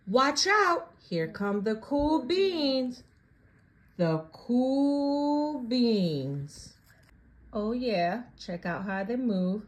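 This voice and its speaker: A woman reading a children's picture book aloud in an animated, expressive and deliberate storytelling style. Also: medium pitch.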